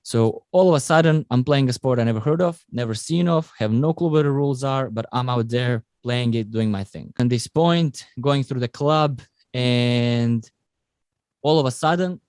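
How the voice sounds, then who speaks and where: A man's voice: medium pitch, moderate pace, smooth, dry recording. Guy in his 20s, podcast guest, dry close mic, storytelling with lots of uhs. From Croatia. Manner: non-native phrasing, fillers, lively storytelling. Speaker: podcast guest, rugby player. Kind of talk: casual interview storytelling.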